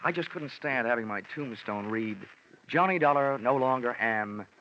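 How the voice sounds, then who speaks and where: An actor playing a sardonic, flirtatious insurance investigator in a 1940s radio drama, glib and wry. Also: male voice, medium pitch, lively intonation, moderate pace, a little hiss or hum, lightly acted. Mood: dry. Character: wisecracking, flirtatious hard-boiled male insurance investigator. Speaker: lead actor and narrator. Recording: muffled vintage radio broadcast with music.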